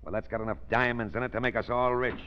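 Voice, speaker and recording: A bullying bandit chief from an old radio thriller, played by a man, mid-pitched and curt. He talks in tough gangster slang, ruthless and suspicious. The sound is muffled and vintage. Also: fairly even intonation, fast talker, slight background noise, natural voice. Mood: curt, threatening. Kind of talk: 1940s radio acting. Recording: dull vintage radio recording.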